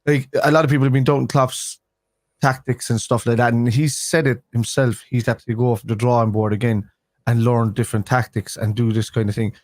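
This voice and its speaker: A man's voice: medium pitch, flat delivery, fairly quick, a little mellow, dry recording. Scouse man talking after a tooth extraction. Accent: Liverpool. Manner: rambling with many restarts. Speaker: co-host of a football fan stream. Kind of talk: casual live stream chat.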